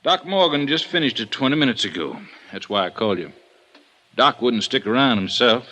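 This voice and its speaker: A man's voice: medium pitch, lively intonation, fairly quick, pushed and bright, low-quality recording. An actor playing a blunt, sardonic male police lieutenant in a 1950s radio drama.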